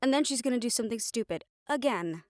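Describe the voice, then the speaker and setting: A woman's voice: a little high, animated, fast, no echo, clean studio sound, lightly acted. A woman playing a stern, exasperated young siren banshee spellcaster in a roleplay audio drama, talking conversationally and emphatically. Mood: stern, chiding. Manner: conversational, sighing, chiding, emphatic.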